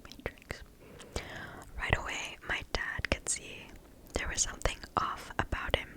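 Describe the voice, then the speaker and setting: Slow, close-whispering woman reading stories. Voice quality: breathy whisper. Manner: slow, hushed, deliberate storytelling. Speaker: ASMR host reading stories. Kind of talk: ASMR whispered story reading. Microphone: close microphone.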